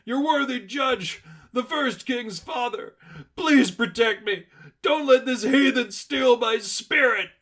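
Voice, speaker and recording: Man doing a cultist henchman, terrified, screaming, frantic pleading, high strained pitch, storybook villain. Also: slow, fairly bright tone, exaggerated voice. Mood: desperate pleading. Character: terrified male cultist thug pleading for his life.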